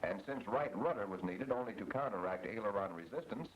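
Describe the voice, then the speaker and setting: An American man reading scripted instructional narration in a 1940s training film style, measured and didactic. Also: on the low side, lively intonation. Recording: muffled old film soundtrack, loud noise.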